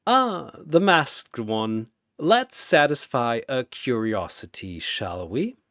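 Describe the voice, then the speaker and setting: A man's voice: medium pitch, very animated, takes it slowly, fairly bright tone, no room sound, studio quality, exaggerated voice. A man voicing a male mad scientist, detached and formal, with menacing curiosity and mock politeness. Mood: sinister curiosity.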